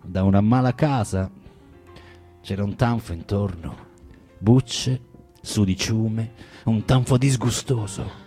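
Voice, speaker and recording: A man's voice: lowish voice, slow pace, pauses often, dark tone. An actor playing a bitter, self-tormented man in a literary radio drama, hesitant and brooding, swinging between musing and outburst. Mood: subdued, probing. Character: bitter, self-tormented man confessing, needling a young woman. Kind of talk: dramatic monologue and dialogue, literary radio theatre reading. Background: music.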